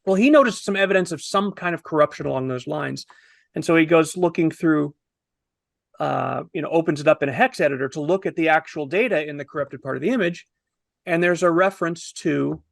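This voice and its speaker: A man in his fifties telling a story in a casual, animated review on a video call, wordy and posing himself questions. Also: fairly high pitch, gravelly, no room sound, studio quality. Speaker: film review panelist.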